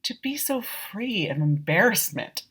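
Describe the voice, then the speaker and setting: An earnest middle-aged mother acted by a woman narrator speaks with urgency and raw feeling. The delivery is unhurried literary story dialogue. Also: medium pitch, big pitch swings, fairly bright tone, husky, voice pushed up, full character voice. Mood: amused, animated.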